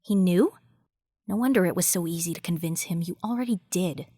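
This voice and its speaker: Woman playing a low-voiced, irritable robot. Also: brisk, mellow, breathy edge, no echo, clean studio sound. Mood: grudging, annoyed. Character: irritable, grudging female robot. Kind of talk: audio drama acting.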